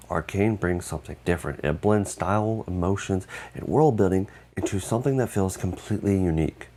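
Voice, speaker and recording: A man's voice: lowish voice, gravelly, darkish tone. A man co-hosting a video game show in a scripted presentation style.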